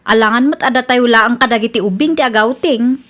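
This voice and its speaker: A woman's voice: on the high side, fairly quick, a bit bright, close to an everyday voice. A woman with an Ilocano Filipino accent playing a nagging younger wife and mother in a radio comedy drama. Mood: complaining.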